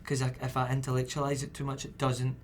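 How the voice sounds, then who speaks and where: A man's voice: medium pitch, fast talker, slight background noise. Chatty young man. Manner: chatty, relaxed, many fillers. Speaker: actor guest. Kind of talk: casual roundtable chat.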